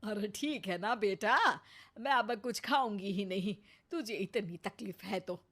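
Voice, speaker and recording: A woman's voice: medium pitch, unhurried. A woman voicing a greedy, touchy older mother-in-law in a cartoon, with a sarcastic, sulky manner.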